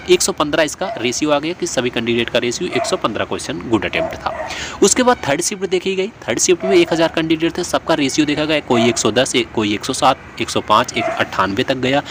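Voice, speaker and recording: A man speaks as a coaching presenter in a slightly high voice. He explains informally and step by step, often repeating points for his viewers. Faint vehicle and street noise sits behind him. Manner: repetitive, step-by-step explaining with worked examples.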